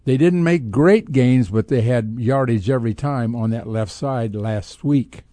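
Older American man as sports radio co-host, warm low-brightness tone, dry studio mic, casual commentary. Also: unhurried, no room sound.

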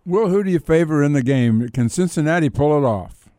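Halting male radio co-host, slightly high voice. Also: lively intonation, warm tone, dry recording, clean studio sound. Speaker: sports talk show co-host. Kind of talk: casual radio interviewing.

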